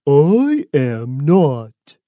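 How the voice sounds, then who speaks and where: A woman voicing a slow, humble, determined tortoise for a children's storybook, with a plodding, deliberate delivery. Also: sits low, very animated, dark tone, dry recording, highs cut off, pitched below the performer's own voice, full character voice. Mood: calm, determined.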